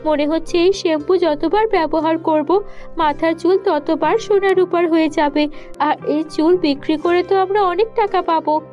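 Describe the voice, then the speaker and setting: A woman's voice: very high voice, steady tone, normal speed, highs cut off, faint music behind. A woman voicing an earnest, reassuring young girl in a cartoon, speaking insistently. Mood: excited.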